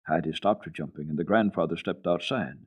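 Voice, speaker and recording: A man reading a children's story for radio in an expressive, deliberate, theatrical way. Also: low-pitched, breathy edge.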